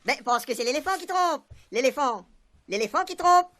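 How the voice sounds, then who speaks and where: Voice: sits high, expressive, normal speed, pushed and bright. An actor in a comic radio serial playing a simple, chatty police sergeant who loves silly jokes, speaking French with a Réunion Creole accent in rambling, repetitive folksy phrases.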